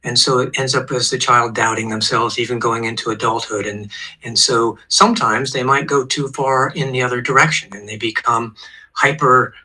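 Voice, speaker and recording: A man in his sixties, a therapist, calmly explaining psychological ideas as a guest on an online show. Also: mid-range voice, normal speed, husky, rough recording.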